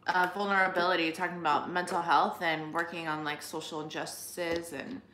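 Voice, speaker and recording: Bright-voiced playful young woman, echoey room. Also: lowish voice, flat delivery, moderate pace, slight background noise. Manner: playful, joking banter. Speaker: livestream co-host. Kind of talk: casual livestream chat.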